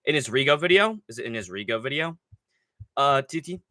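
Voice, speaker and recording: A man's voice: mid-range voice, fairly quick, dry recording, clean studio sound. A young man hosting a casual livestream, with a stuffy nose from a cold, rambling and swearing as he talks through fight picks. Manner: profane, rambling, excitable. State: nasal from a cold. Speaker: livestream host.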